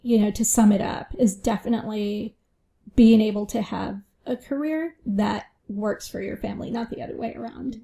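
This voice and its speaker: A woman's voice: medium pitch, moderate pace, gravelly, mellow. A woman financial advisor answering interview questions in a reflective way, often adding "you know" and "right?".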